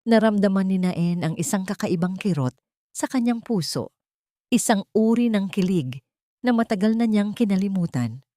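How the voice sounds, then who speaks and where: A woman narrating a scripted dramatic love story in a measured, emotive way. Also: medium pitch, lively intonation, mellow, no echo.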